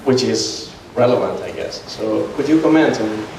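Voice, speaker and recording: A man's voice: lowish voice, expressive, moderate pace, darkish tone. Male audience questioner, hesitant with many fillers, recorded from a distance in a reverberant hall. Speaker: audience member asking a question. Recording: echoey hall, distant mic, faint noise.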